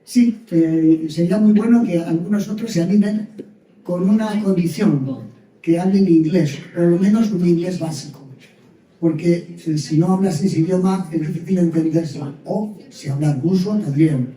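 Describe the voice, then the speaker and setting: Man, casual storyteller, high but mellow voice, roomy far-off sound. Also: moderate pace. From Spain. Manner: chatty storyteller who acts out little dialogues, laughs often. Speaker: speaker sharing travel experiences. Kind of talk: informal talk to a group. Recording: distant mic in an echoey room.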